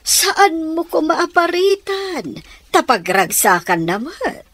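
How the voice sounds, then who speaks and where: A woman's voice: high voice, big pitch swings, takes it slowly, a bit bright, heavily acted. An actress playing a sly, manipulative middle-aged stepmother in a radio drama, with a theatrical, conniving delivery. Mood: coaxing. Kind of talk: Ilocano radio drama acting.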